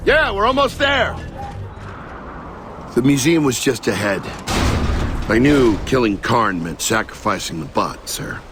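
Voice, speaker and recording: An actor playing a wry, battle-worn lieutenant leading a squad in a video game, with a sardonic and defiant way of talking. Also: male voice, medium pitch, sing-song, unhurried, pauses often, noisy background. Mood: urgent, commanding. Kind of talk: video game acting, combat orders and recounting narration.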